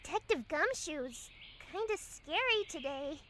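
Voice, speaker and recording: Woman as a perky, very high-voiced teenage girl. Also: expressive, measured pace, very noisy. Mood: curious. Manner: bubbly, inquisitive. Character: perky, curious teenage girl, a lawyer's assistant. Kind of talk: fan audio drama acting of a video game story.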